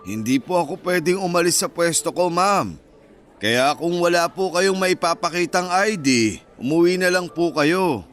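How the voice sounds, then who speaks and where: An actor in a Filipino radio drama playing a stubborn university security guard who is polite, firm and by the book. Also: male voice, fairly high pitch, expressive, moderate pace, fairly bright tone, faint music behind. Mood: unyielding.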